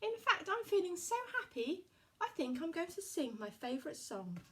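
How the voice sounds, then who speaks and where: A woman's voice: very high voice, very animated, moderate pace, a little hiss or hum. A woman playing a polite, innocent, cheerful little girl in a puppet show. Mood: happy.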